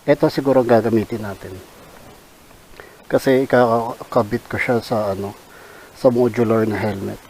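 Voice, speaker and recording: A Filipino man giving casual unboxing commentary as a vlogger, halting and thinking aloud in an unscripted way. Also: on the low side, steady tone, darkish tone, low-quality recording. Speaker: vlogger reviewing a product. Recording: hissy background noise.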